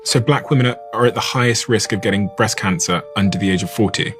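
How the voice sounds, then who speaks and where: A man interviewing and narrating facts, measured and questioning. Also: lowish voice, over music.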